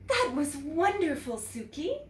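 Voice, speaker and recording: A woman's voice: high voice, big pitch swings, unhurried, voice pushed up. A woman voicing a kindly first-grade teacher in a storybook, welcoming and encouraging. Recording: roomy echo, distant mic, faint noise.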